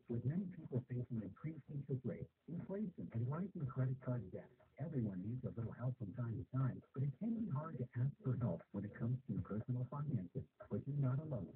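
A man reading a scripted radio advertisement, heard from a car radio recorded on a phone. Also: mid-range voice. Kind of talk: scripted ad narration. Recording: tinny hissy car radio, phone capture.

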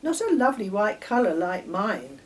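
A woman's voice: mid-range voice, sing-song, moderate pace, lightly acted. A woman voicing a superior, nagging young girl from a storybook, scolding and lecturing emphatically. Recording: distant mic, noticeable background noise.

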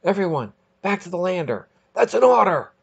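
A man voicing a brisk, authoritative female space captain who barks out orders in a short story reading. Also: sits high, very animated, vocal fry, slightly rough, highs cut off, voice pushed up, full character voice. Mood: urgent. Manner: barking orders.

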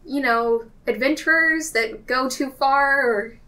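A woman's voice: sits high, slow pace, sharp tone. A woman playing a tabletop game, talking casually with the group. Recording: slightly distant microphone.

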